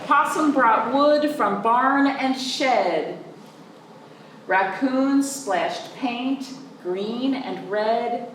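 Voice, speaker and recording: A woman reading aloud to young children in an expressive, sing-song way, drawing out words and speaking simply and clearly for them. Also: fairly high pitch, very slow. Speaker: children's librarian, storytime reader. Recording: roomy echo, distant mic, faint noise.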